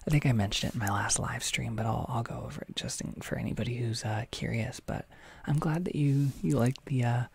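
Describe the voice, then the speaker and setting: A man hosting a relaxed livestream, talking softly and slipping into half-whispers, halting with fillers, his nose a little stuffy. He speaks close to the microphone. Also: medium pitch, vocal fry, slightly rough. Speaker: ASMR audio creator hosting a livestream. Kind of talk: soft-spoken casual livestream chat, reading chat.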